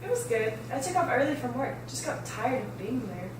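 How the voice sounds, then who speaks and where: Woman reading kindly mom, lively and echoey. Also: fairly high pitch, brisk. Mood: warm, casual. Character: kindly, casual mother of a teenage boy. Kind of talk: amateur table read of a script. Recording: echoey room, distant mic, faint noise.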